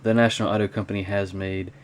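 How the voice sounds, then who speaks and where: A man talking casually and unscripted in a hobby show-and-tell vlog, with a rambling, halting delivery. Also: on the low side, little pitch movement. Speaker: hobby vlogger, cassette collector. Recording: clear background noise.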